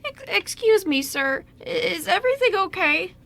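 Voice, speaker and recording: A very high, creaky voice of a fussing old lady. She is polite and hesitant, swooping up and down with concern, as a stranger in an amateur radio play. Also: sing-song, a little slow, fairly bright tone, heavily acted. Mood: worried.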